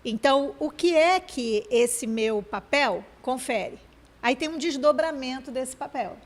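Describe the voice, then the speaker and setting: High-voiced woman talking fast with restarts. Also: animated. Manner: fast, searching speech with many restarts. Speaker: program co-host.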